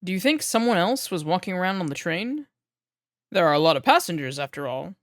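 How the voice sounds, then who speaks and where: A woman's voice: on the low side, very animated, brisk, dry recording. Casting: actress as female late-night mystery radio host, poised and theatrical, very varied intonation, close dry sound. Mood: intrigued, mysterious.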